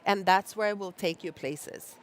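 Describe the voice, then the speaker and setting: A woman speaking as a guest on a conference panel, earnest and slightly halting. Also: medium pitch. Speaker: panel guest, programme manager.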